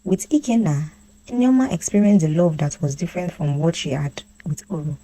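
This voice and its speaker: A woman storyteller with a low-pitched, soft and mellow voice. She narrates a scripted folktale. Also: normal speed, low-quality recording.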